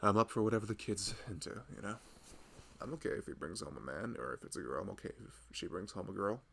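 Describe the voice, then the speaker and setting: A man's voice: low voice, animated, fast talker, creaky, fairly bright tone, husky, full character voice. A man playing a loving, easygoing husband and nervous expectant father in an intimate ASMR roleplay, rambling casually and hesitantly. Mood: accepting, casual. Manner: rambling, self-amused, hesitant, often chuckling.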